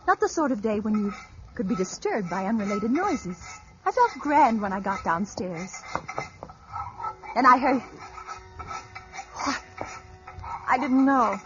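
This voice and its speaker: A woman's voice: on the high side, lively intonation, unhurried, long pauses. An actress playing a tense, brittle woman tormented by noise, speaking precisely and dramatically in 1940s radio drama style. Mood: haunted, controlled. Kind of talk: 1940s radio drama acting, first-person narration. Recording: muffled old radio recording, loud music.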